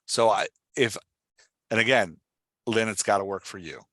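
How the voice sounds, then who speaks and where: Male host-coach, forceful lower voice, dry sound, conversational, checks for understanding as he explains. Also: moderate pace, no room sound. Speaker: podcast host and writing coach.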